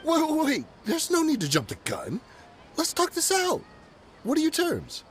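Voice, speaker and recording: Shifty smuggler man, wildly swinging pitch. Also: moderate pace, slight background noise, heavily acted. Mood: pleading. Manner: wheedling, evasive, excitable. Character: shifty, nervous, bargaining male smuggler and ex-mercenary. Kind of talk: video game voice acting.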